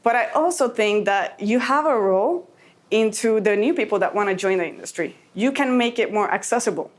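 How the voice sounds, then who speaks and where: A woman's voice: medium pitch, animated, fairly quick. A woman from Puerto Rico giving an earnest, encouraging talk to a conference audience. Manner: earnest, encouraging, a little emotional. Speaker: conference speaker.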